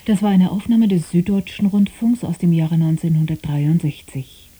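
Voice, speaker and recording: Woman radio announcer, husky n really dark voice, calm formal scripted read. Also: lowish voice, normal speed. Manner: composed, formal.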